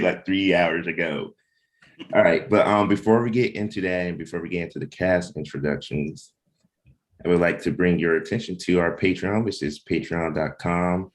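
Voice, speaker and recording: A man hosting a livestreamed table read in a casual, informal way, pausing between short phrases. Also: sits low. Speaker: host, comic book creator and narrator.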